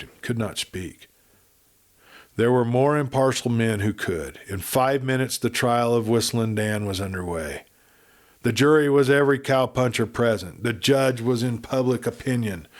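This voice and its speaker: Male narrator for western audiobook: medium-pitched, measured, dramatic storybook delivery. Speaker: audiobook reader.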